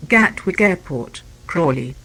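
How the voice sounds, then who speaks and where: A woman's voice: on the low side, expressive, slow pace, a bit bright, rough recording. A woman reading a scripted emergency alert in a formal, impersonal manner. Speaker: emergency announcer.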